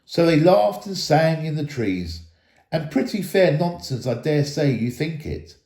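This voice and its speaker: A man giving an animated, expressive reading of a children's fantasy story. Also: fairly high pitch, measured pace. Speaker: storybook reader, school staff member. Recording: echoey room.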